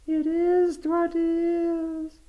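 Guy reading as a little ghost girl sobbing to be let in, way up high, dragged out slow. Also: completely flat delivery, phone-quality sound, full character voice. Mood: wailing plea. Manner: wailing, slow and drawn-out.

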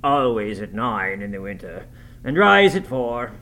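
A man voicing a brooding, gruff, tormented landlord who is curt and volatile, in a dramatised novel reading. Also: medium pitch, sing-song, unhurried, a bit bright. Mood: gruff, suppressed grief. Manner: curt, commanding, scornful, emotionally volatile.

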